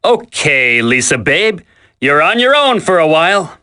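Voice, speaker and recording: An actor playing a cocky, wisecracking, flippant commando in a dramatic video game. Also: male voice, on the high side, very animated, unhurried, pushed and bright, clean tone, heavily acted. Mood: teasing.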